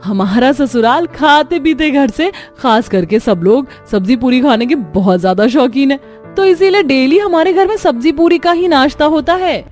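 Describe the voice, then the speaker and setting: A woman's voice: sits high, expressive, moderate pace, darkish tone, faint music behind, pitched above the performer's own voice. A woman voicing a smug, smiling elder sister-in-law in a cartoon, explaining sweetly.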